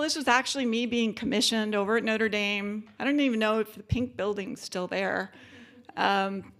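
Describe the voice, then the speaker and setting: A woman's voice: a little high, fairly bright tone. A woman, giving a conference keynote in a chatty way with many fillers. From born in Japan, military family. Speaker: keynote speaker.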